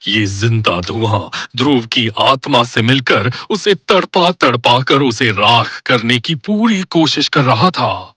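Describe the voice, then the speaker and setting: Slow, intense male horror narrator. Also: mid-range voice, animated, bright, forceful tone, slightly rough, no room sound, studio quality. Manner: suspenseful, dramatic. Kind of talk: dramatic scripted horror story narration.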